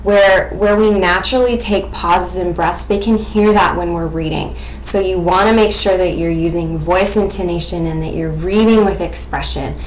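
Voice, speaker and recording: An American woman in her twenties giving an expressive, explanatory lesson presentation as a student teacher. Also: lowish voice, normal speed, few pauses. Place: small indoor room at home. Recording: echoey room with faint background noise.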